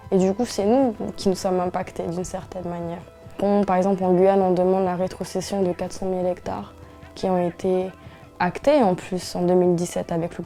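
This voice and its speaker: Young woman from french guiana, earnest interview talk, warm voice, flat steady delivery, soft music behind. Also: lowish voice. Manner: earnest, measured, with frequent restarts and hesitations. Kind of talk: interview testimony.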